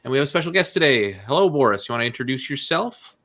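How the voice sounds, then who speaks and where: A man hosting a casual online chat, relaxed, with lots of fillers and restarts. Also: mid-range voice, quick pace. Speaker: host.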